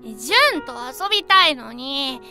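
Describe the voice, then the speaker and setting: An actor voicing an excitable, playful small boy in an anime voice drama. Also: very high voice, normal speed, soft background music, heavily acted. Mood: gleeful. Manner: giggly, playful and whiny.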